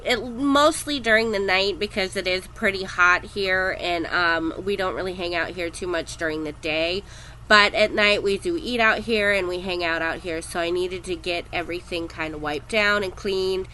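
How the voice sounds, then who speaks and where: A woman's voice: on the low side, moderate pace, slight background noise, poor audio. A woman in her thirties with a head cold and a stuffed-up voice, chatting casually in a voice-over about cleaning her home. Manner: chatty, relaxed, with frequent ums. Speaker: cleaning vlogger.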